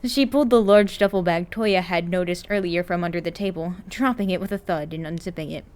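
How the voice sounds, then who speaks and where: Lower-pitched woman narrating a story. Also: fairly quick, a little mellow. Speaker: narrator of a fan fiction podfic. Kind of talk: scripted narration, audiobook-style reading of a story.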